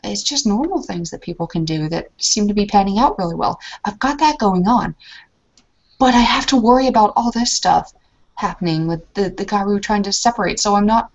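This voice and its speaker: A woman's voice: medium pitch, animated, brisk. A woman in a tabletop roleplay, talking in character in an anxious, rambling way with many restarts. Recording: lo-fi muffled recording.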